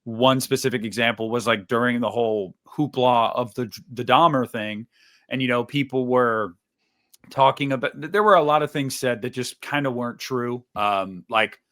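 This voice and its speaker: Male podcast panelist, medium pitch, informal and opinionated, talking through his views conversationally. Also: normal speed, fairly bright tone. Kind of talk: casual opinionated discussion.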